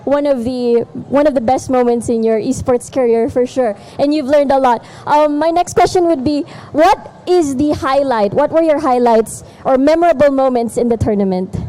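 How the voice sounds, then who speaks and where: A high-voiced woman interviewing players on an esports broadcast, upbeat and chatty. She trips over words now and then and laughs them off. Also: moderate pace, hardly any pauses, warm tone, poor audio. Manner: upbeat, with small verbal stumbles. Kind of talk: post-match interview hosting.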